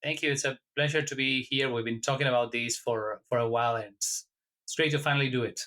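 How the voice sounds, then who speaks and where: A man from Argentina, a guest in an interview, answering in a measured, thoughtful way with some halting restarts. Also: medium pitch, fairly even intonation, bright, forceful tone. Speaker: guest, HR technology consultant.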